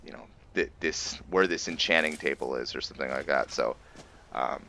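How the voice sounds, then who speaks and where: A man's voice: extremely low pitch, moderate pace, vocal fry, pushed and bright. A man giving casual let's play commentary, musing and explaining as he goes. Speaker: host of a gaming roleplay series.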